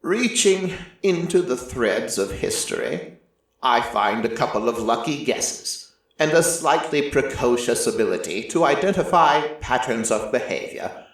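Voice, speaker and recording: A man voicing an ancient, solemn god of prophecy in a dramatic first-person story, with deliberate pauses. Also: medium pitch, animated, natural voice. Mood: grave, watchful. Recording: heavily echoing, distant-sounding voice.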